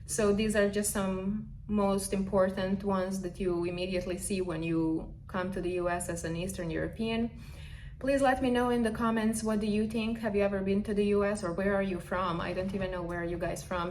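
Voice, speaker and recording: A woman's voice: medium pitch, slight background noise. A woman from Serbia with a Serbian accent, talking to camera in an earnest, chatty vlog with slightly non-native phrasing. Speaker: vlogger. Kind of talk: casual vlog monologue. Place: indoors, recording in the US.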